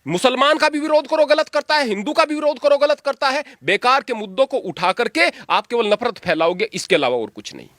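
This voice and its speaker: High-voiced preachy holy man. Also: expressive, fairly quick, hardly any pauses, a bit bright, no echo, studio quality. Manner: preachy, emphatic, plain-spoken. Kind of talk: earnest spoken argument.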